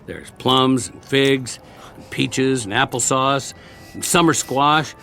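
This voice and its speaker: An actor playing a weary, wry American ex-soldier, plain-spoken and coaxing, in a radio drama. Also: male voice, medium pitch, slow, creaky, pushed and bright, a little hiss or hum, heavily acted. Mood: coaxing, gentle. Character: weary, wry male ex-soldier surviving after war.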